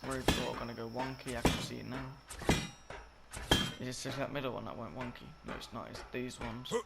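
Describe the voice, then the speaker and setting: A croaky, slightly sick young man rambling through a game-building tutorial, often correcting himself. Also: medium pitch, a little fast. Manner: rambling, self-correcting, filler-heavy. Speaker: gaming tutorial creator. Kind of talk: casual gameplay tutorial commentary. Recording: loud game noise behind. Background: game sound effects and clicks.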